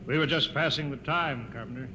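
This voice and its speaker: An actor playing a wry, world-weary, defiant veteran ship's officer in 1950s radio drama style. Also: male voice, a little fast, in a large hall, natural voice. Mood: sardonic. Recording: old radio recording with loud rumble.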